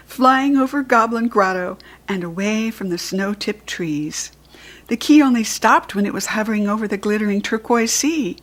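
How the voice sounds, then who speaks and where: A woman with an American accent reading a children's book aloud in a clear, expressive voice. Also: on the high side.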